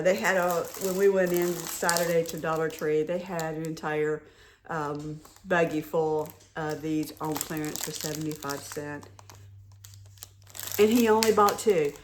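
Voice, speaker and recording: A middle-aged woman, hoarse from a head cold, chatting casually and rambling with many restarts while showing off a shopping haul. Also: lowish voice, slight background noise. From North Carolina. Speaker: haul vlogger. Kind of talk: casual show-and-tell chat.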